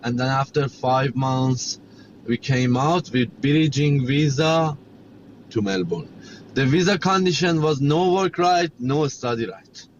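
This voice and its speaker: A middle-aged man from Iran, a native Persian speaker with a Persian accent, telling his story as an interview guest in halting, repetitive English. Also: medium pitch, highs cut off, a little hiss or hum. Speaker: guest, chef and cafe owner.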